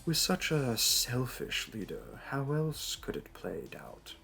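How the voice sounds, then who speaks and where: A man's voice: mid-range voice, very animated, takes it slowly, creaky, bright, forceful tone, faint music behind, heavily acted. A man playing a suave, flirtatious supervillain in a roleplay audio drama, smug, teasing and theatrical. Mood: persuasive. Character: smug, flirtatious male supervillain courting a hero.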